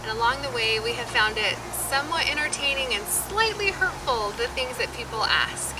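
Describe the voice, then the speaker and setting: A woman's voice: a little high, expressive, moderate pace. A woman in her thirties telling her infertility story in an earnest, sincere way. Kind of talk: heartfelt personal storytelling. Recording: roomy, distant, noisy.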